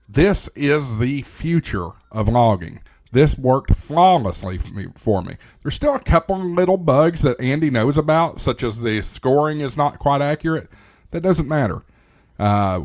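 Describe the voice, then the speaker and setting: A man hosting a ham radio channel, talking in a casual, folksy presenter style straight to his viewers. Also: mid-range voice.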